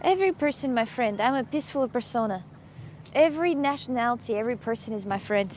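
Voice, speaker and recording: A woman from Russia, streaming casual chat on a street with her phone, excitable and playful. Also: a little high, quick pace, slight background noise.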